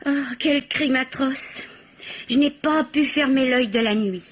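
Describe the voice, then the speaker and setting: Naive, high, husky-voiced spinster sidekick. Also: female voice, takes it slowly, faint music behind. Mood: pensive. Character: naive, impressionable spinster, admiring sidekick of amateur sleuth. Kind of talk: old French radio play acting. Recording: dull, lo-fi old radio recording.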